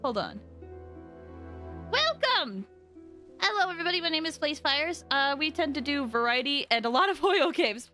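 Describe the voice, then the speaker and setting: A woman's voice: high-pitched, big pitch swings, normal speed, pauses often, bright, forceful tone. A young woman chatting casually and effusively as a game livestreamer, often exclaiming. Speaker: game streamer. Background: game music.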